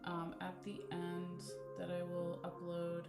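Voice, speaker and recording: Soft-voiced woman reading bones and charms. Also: lowish voice, monotone. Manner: gentle, unhurried, many fillers like um and okay. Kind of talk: live-stream divination reading. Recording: echoey room with loud guitar music behind. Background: guitar music.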